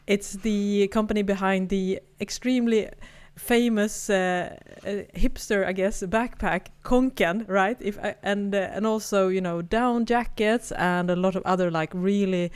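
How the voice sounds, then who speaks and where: A woman's voice: mid-range voice, fairly even intonation, a little slow, hardly any pauses, clear, resonant tone. A woman with a Swedish accent, co-hosting a podcast in casual, chatty conversation, laughing often and restarting her sentences. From Sweden. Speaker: podcast co-host. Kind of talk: casual chat.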